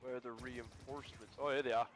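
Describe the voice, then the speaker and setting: A man's voice: medium pitch, quick pace, a little hiss or hum. A man joking around with friends during a co-op shooter game. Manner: animated, jokey. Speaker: co-op player. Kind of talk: casual gaming banter.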